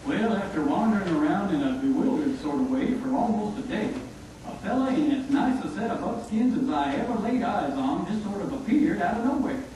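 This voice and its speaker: A man voicing a folksy, gentle frontier father in a puppet show, telling things earnestly. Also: high voice, very animated, fairly quick. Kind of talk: scripted church puppet show dialogue. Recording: echoey room, distant mic, faint noise.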